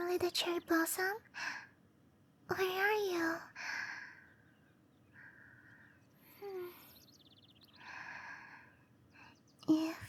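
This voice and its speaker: Woman doing a gentle dryad girl for asmr roleplay, really high soft breathy whispery voice, slow, musing to herself. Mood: searching, fretful. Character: gentle cherry blossom dryad girl, sweet and caring.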